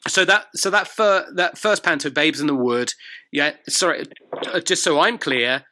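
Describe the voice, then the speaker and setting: Male host, highish voice, bright forceful tone, halting curious questions. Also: animated. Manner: curious questioner with hesitant restarts. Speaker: interviewer host. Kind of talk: conversational interview.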